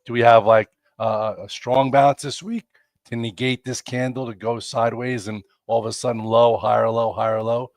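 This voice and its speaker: A man's voice: mid-range voice, level tone, moderate pace, no room sound, clean studio sound. A man, congested and losing his voice, hosting a chatty, rambling live stock market show with lots of fillers. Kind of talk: casual live market commentary.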